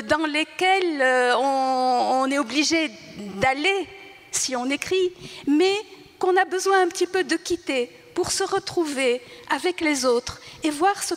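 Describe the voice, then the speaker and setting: An older woman born in Tunisia whose first language is Arabic, speaking at a panel talk and reflecting in a halting way, often searching for words and restarting. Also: high voice. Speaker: writer on a panel. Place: large hall. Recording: reverberant hall.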